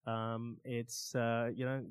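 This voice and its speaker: Male Australian storyteller, conversational, average pitch, unhurried hesitations between phrases, dry room sound. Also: little pitch movement, clean tone, dry recording. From Australia, born in Iran. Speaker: interview guest, stunt pilot and motivational speaker. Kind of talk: casual storytelling in an interview.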